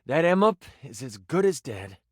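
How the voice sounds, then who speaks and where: A man's voice: mid-range voice, big pitch swings, moderate pace, husky, no echo, studio quality. An actor playing a game master, a man narrating a fantasy quest in a theatrical, suspenseful and emphatic way. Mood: dramatic, excited.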